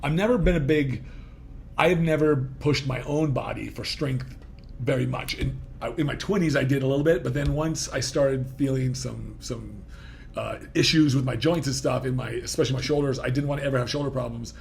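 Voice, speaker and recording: A man in his fifties with a gravelly edge hosts a relaxed podcast chat. He wanders through his thoughts and breaks off mid-sentence. A faint hiss sits under the recording. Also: medium pitch, fairly quick. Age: 50s. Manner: rambling, self-interrupting, laughing easily. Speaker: podcast host. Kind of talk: casual interview talk.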